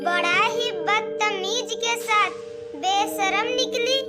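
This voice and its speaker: Woman as a very high-voiced excitable village woman. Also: takes it slowly, over loud music. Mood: indignant. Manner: scolding, exclamatory. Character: indignant, easily scared young village woman. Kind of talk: Hindi cartoon story acting.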